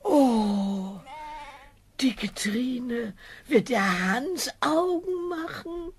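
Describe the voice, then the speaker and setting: A woman's voice: high-pitched, lively intonation, extremely slow, heavily acted. An actress playing a fussy, long-suffering middle-aged peasant mother in a children's fairy-tale radio play, fretful and full of exclamations. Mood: delighted.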